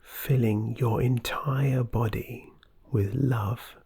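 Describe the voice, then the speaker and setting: Very slow, husky, breathy meditation guide. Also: mid-range voice, steady tone. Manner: soft, soothing, breathy, often near whisper. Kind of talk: scripted guided meditation narration.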